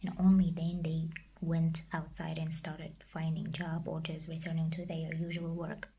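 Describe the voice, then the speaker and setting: Young woman, whispered vlog, mellow, flat delivery, hesitant. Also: deep voice, moderate pace, muffled recording, slight background noise. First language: Kazakh. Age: young adult. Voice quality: breathy whisper. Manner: whispered, hesitant, searching for words. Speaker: vlogger. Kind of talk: casual personal vlog.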